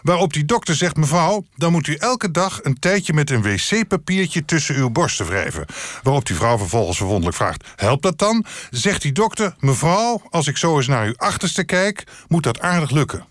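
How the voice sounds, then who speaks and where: A man's voice: a little high, big pitch swings, fairly bright tone, no echo, clean studio sound. A man playing a pedantic, formal company chairman being interviewed in a satirical radio show. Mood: deadpan joking. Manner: formal, businesslike, measured. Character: earnest male corporate board chairman, pedantic, telling crude jokes.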